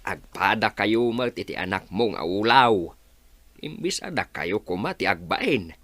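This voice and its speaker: A man's voice: on the low side, expressive, measured pace, fairly bright tone, no echo. A man playing a chiding, exasperated older village husband in an Ilocano radio comedy drama, with an Ilocano accent.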